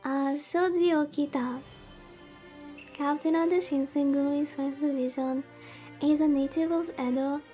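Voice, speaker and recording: High-voiced woman streamer, slow and playfully dramatic. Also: steady tone, mellow. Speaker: VTuber streamer, host. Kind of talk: casual livestream chat while playing a visual novel. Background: background music.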